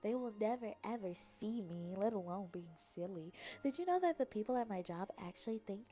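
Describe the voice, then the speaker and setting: A young adult woman chatting casually on a livestream in a playful, silly, expressive manner, reacting to her viewers. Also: mid-range voice, brisk. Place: apartment.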